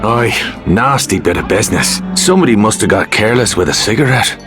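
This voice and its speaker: An actor playing a hard-bitten, sardonic Irish saboteur with an Irish accent and a husky, blunt delivery in a video game. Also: male voice, fairly low pitch, animated, brisk, music in the background, natural voice. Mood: wry, determined. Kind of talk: video game cutscene acting.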